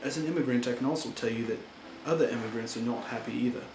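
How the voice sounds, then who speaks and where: An earnest, indignant German-born immigrant makes his plea, as a man acts him out, with an ordinary mid-pitched voice and no accent. The room rings with echo, and steady noise sits behind him. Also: quick pace, lightly acted. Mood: pleading. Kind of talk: amateur historical role-play monologue. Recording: echoey room with background noise.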